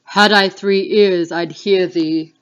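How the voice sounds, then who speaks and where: A woman's voice: on the low side, steady tone, slow, clean tone. A woman reading the part of Macbeth, a fearful, defiant king, in a declamatory Shakespeare dramatic reading. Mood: wary, resolved. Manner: declamatory, exclamatory. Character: Macbeth, male Scottish thane turned king, fearful and defiant. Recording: dull lo-fi home recording.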